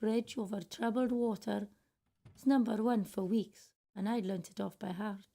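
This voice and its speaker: A woman's voice: mid-range voice, fairly even intonation, moderate pace, creaky, mellow. A woman acting a wistful, regretful middle-aged British woman in a confiding radio play monologue. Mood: wistful, nostalgic. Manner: reflective, confiding storytelling. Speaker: actor in a student radio play. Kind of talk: first-person radio drama monologue.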